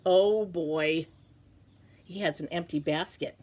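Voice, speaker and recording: A woman teacher reading a children's picture book aloud in an animated way, with playful, chatty asides and questions to her pet bird. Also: low-pitched, slow pace.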